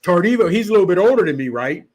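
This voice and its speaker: A man in a casual sports podcast, telling stories and firing off rhetorical questions in an animated way. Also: sits high, fast talker, over a noisy crowd.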